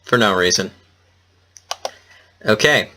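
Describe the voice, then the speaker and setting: A man's voice: on the low side, expressive, slow, sharp tone. Self-deprecating man doing casual game commentary. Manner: self-deprecating, awkward, rambling. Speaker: let's player. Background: game music.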